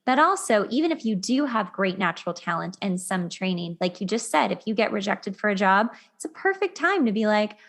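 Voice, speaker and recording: A woman's voice: medium pitch, sing-song, a little fast, hardly any pauses. A woman hosting a podcast in a casual, conversational style, affirming and emphatic, repeating points for emphasis. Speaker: podcast host.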